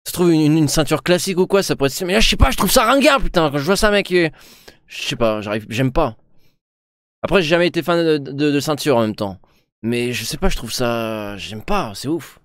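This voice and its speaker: Young male streamer, rambling slangy chatter, blunt opinions, slightly high voice, very dry close-mic sound with no room. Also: expressive, fairly quick, fairly bright tone, no echo. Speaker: streamer reacting to a TV show. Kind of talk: casual slangy reaction commentary.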